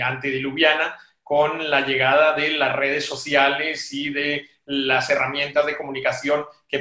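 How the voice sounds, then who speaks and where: A bright, forceful man's voice, somewhat high, in an echoey room over a video call. He is in his forties and speaks in a formal, articulate way as a journalist in a discussion. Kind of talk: measured panel discussion. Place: small home office, on a video call.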